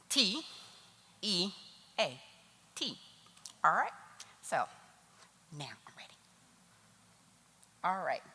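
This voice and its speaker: A woman's voice: on the low side, pushed and bright. A woman giving a chatty, nervous talk to an audience at a church tea event. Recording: hall reverb.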